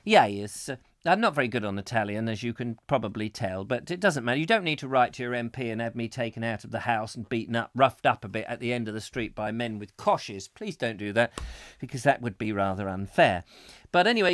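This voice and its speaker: A man's voice: medium pitch, fairly bright tone, dry recording. A man hosting a live comic chat show from a small studio, rambling jokily from one silly aside to the next. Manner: jokey, digressive, lots of fillers and silly asides. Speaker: host.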